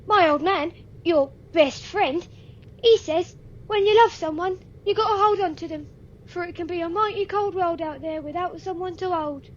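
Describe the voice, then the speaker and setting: Woman as very high-pitched plucky little boy. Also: normal speed. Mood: earnest. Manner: earnest, old-fashioned street urchin phrasing. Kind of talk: radio play acting. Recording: dull, lo-fi, faintly noisy recording.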